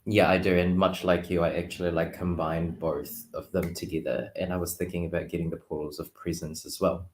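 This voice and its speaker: Low-voiced New man, echoey room. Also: flat delivery, fairly quick, hardly any pauses, a little hiss or hum. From Philippines until age twelve, then New Zealand. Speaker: guest on a card reading show. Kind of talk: casual chat.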